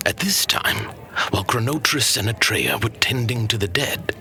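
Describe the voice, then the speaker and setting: A man playing a wise old master who tells legends to a pupil in a solemn, measured, hushed fantasy storytelling style. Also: on the low side, expressive, full character voice. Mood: solemn, reverent.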